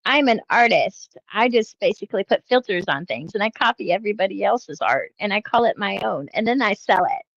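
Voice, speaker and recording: A woman's voice: mid-range voice, lively intonation, fairly quick, few pauses, no room sound, lo-fi sound. A woman hosting casual reaction commentary, blunt and mocking, often trailing off with fillers. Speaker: commentary host reacting to clips.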